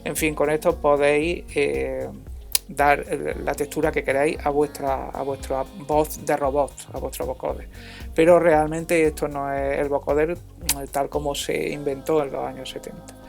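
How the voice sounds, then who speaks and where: A man narrating an informal music software tutorial as an instructor, in a halting, hesitant way. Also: fairly high pitch, flat delivery, vocal fry.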